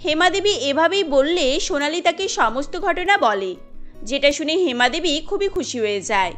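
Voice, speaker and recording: A woman tells a scripted cartoon story. Her voice is high and swings animatedly in pitch, and faint music plays beneath the narration. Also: fairly bright tone.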